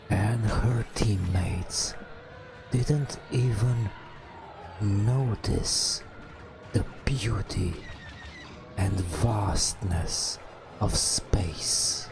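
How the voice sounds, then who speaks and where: A man telling a bedtime story in a hushed ASMR style, in drawn-out phrases with long pauses. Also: on the low side, vocal fry, music in the background. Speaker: narrator. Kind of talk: whispered ASMR bedtime storytelling over game footage.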